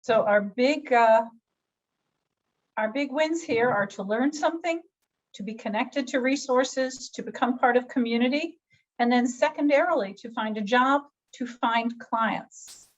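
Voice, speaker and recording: A woman's voice: on the high side, measured pace. A woman hosting a webinar over a video call, talking in a chatty, enthusiastic and self-deprecating way. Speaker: webinar host. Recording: dull lo-fi video call audio.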